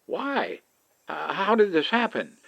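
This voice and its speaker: A man acts a bewildered father in a radio play, in a fairly high voice that leaps up and down. He sounds anxious and halting, unable to believe what he hears. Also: huge pitch swings, vocal fry, a bit bright, heavily acted. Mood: stunned, disbelieving. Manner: halting, stammering questions. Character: bewildered human father, anxious and disbelieving questioner. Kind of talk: old-time radio science fiction acting.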